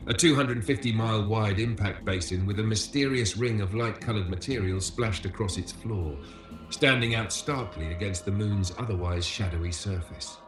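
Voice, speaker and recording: A man giving scripted educational documentary narration as a tour guide, in a measured, solemn manner. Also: on the low side, fairly bright tone, roomy sound. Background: music.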